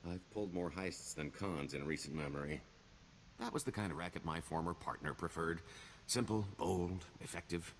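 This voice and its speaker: An actor playing a roguish, glib smuggler and con artist in a video game, with a wry, theatrical delivery. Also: male voice, deep voice, normal speed, slight background noise, close to an everyday voice. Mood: pleased, scheming. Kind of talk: video game character dialogue.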